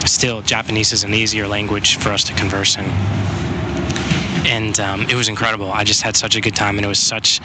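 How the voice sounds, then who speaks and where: A young man with a low voice tells a diary-style story in a chatty, excited way, often repeating words as he goes. He records while walking along a city street. Passing traffic comes through clearly behind him. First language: English. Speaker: podcaster. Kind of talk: casual spoken diary.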